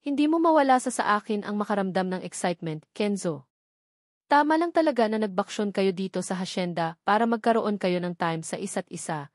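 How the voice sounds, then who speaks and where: A woman's voice: mid-range voice, animated, fairly quick, dry recording, clean studio sound, close to an everyday voice. A woman with a Filipino accent playing a cheerful, eager old grandfather in an audiobook, genial and teasing.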